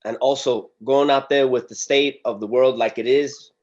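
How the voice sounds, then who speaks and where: Guy reading a polite supportive prison mentor, average pitch, muffled call audio. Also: fairly even intonation, normal speed, close to an everyday voice. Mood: earnest pleading. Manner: polite, earnest. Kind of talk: script table read acting. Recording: dull lo-fi video call.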